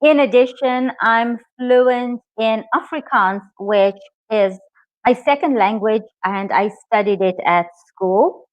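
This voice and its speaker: A woman whose native language is English, teaching a live online IELTS lesson, speaking slowly and clearly and cheering her students on. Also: a little high, dry recording, highs cut off. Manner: slow, clear, encouraging, reads out student comments.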